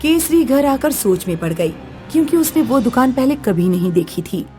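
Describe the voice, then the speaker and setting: A woman's voice: sits high, sing-song, normal speed, warm tone, faint music behind. A woman narrating a scripted story as a storyteller.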